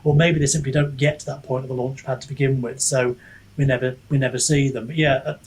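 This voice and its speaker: Man, husky voice, mid pitch, podcast guest, reflective, hesitant, casual, thinking aloud. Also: level tone, fast talker, darkish tone, low-quality recording. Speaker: podcast guest, author and book reviewer. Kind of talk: casual discussion.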